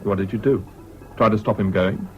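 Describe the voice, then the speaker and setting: An actor playing a sober British army major who also narrates a 1970s radio drama, frank and dry in manner. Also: male voice, fairly low pitch, fairly even intonation, moderate pace, lightly acted. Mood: reproachful. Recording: dull, noisy old radio recording.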